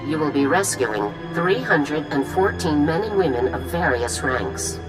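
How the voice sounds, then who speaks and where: A composed female general in a game cutscene. Her voice is low and her delivery formal and measured, over clear background music. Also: animated, rough recording. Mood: composed, businesslike. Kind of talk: video game cutscene acting.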